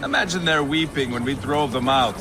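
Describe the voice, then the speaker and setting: An actor playing a crude, boastful Viking warlord in a video game cutscene, speaking in a mocking way. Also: male voice, fairly high pitch, animated, fairly quick, loud music behind the voice, natural voice.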